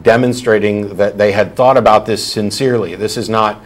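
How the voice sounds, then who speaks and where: A man's voice: fairly low pitch, steady tone, moderate pace. A man hosting a call-in talk show, answering a caller bluntly and explaining his arguments at length. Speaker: host.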